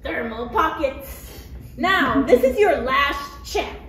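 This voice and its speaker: A woman's voice: sits high, big pitch swings, slow, pushed and bright, full character voice. A woman playing a cocky, wisecracking male bounty hunter in a comedic script table read, with taunting delivery. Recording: roomy, distant mic, background noise.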